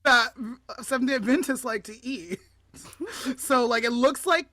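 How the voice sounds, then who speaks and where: A woman's voice: a little high, a little slow, pushed and bright, no room sound, clean studio sound. A woman co-hosting a podcast in casual chat, with a giggly, jokey, informal manner. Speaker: podcast co-host.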